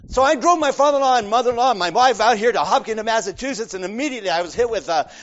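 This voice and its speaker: Animated high-voiced storytelling preacher. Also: male voice, very fast. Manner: animated, acts out voices, swings loud and soft. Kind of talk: storytelling sermon. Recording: dull lo-fi sound.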